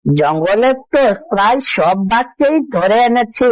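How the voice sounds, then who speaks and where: A woman's voice: fairly high pitch, expressive, unhurried, a little mellow, no room sound, poor audio. A woman voicing a cunning, confident father fox who reassures his family in a cartoon fairy tale. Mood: scheming, boastful.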